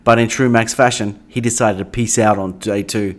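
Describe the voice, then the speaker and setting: A man's voice: lowish voice, steady tone, normal speed. Australian man narrating a sailing vlog. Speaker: sailing channel host. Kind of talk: scripted voice-over narration.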